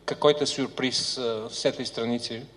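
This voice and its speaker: A man's voice: mid-range voice, steady tone, normal speed, a bit bright. A man interpreting a seminar talk, hesitating and searching for words. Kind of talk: consecutive interpreting. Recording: hall reverb.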